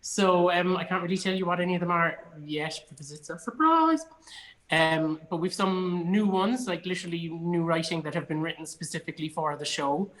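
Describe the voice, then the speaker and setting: A man's voice: sits high, moderate pace, a bit bright, rough recording. Chatty, enthusiastic Irish man. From Cork, Ireland. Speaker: guest, actor and theatre producer. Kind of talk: casual online chat. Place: indoors in a studio.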